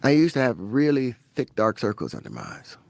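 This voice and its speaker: A man in his fifties, a podcast guest, talking in a relaxed, unhurried way and laughing easily. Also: mid-range voice, gravelly, dry recording. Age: 50s. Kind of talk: casual podcast conversation.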